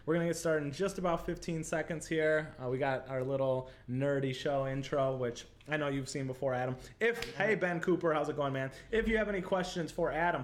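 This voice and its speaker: Chatty Chicago-accented man hosting a livestream. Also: a little high. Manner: chatty, upbeat, lots of "like" and "totally". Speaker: livestream show host. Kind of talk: casual live interview chat.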